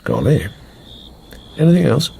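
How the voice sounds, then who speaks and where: An actor playing a British intelligence officer in a radio drama, briefing an agent in hesitant, clipped upper-class phrases. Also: male voice, fairly high pitch, huge pitch swings, unhurried, gravelly, a little mellow, breathy edge, heavily acted. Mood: brisk, businesslike. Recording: faint background noise and ambience.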